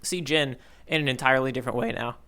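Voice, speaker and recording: A man co-hosting a casual manga discussion podcast in a playful, animated way. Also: mid-range voice, quick pace. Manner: playful, animated, with spoken sound effects. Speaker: podcast co-host.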